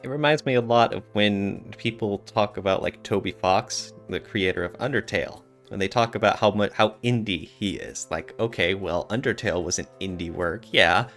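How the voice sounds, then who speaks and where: A man's voice: lowish voice, normal speed, creaky, hardly any pauses, a bit bright, soft background music. A man talking casually and explaining things as a podcast co-host.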